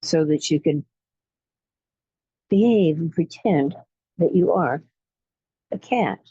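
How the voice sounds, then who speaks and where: A woman leading a guided relaxation meditation in a soothing, unhurried way, pausing between short phrases. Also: deep voice, mellow, slightly rough, no echo, muffled recording. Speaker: guided imagery facilitator.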